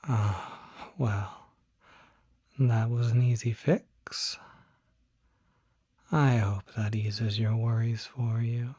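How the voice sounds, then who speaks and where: A person voicing a polite, soft-spoken demon doctor in a whispered ASMR roleplay, with breathy, formal, precise speech. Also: mid-range voice, steady tone. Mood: gentle, courteous. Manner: formal, unhurried, precise diction.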